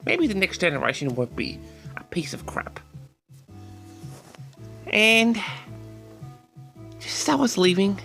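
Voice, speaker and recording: A man telling a story to his audience as a vlog host, in a theatrical, indignant manner with dramatic pauses. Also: high voice, vocal fry, bright, forceful tone. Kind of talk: storytelling monologue addressed to the audience.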